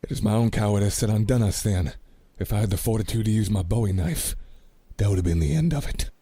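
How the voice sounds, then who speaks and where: An actor playing a blunt, brave cowboy gunslinger in a radio play, with folksy frontier phrasing. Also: male voice, on the low side, quick pace, dark tone, husky. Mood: regretful. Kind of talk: radio play dramatic acting.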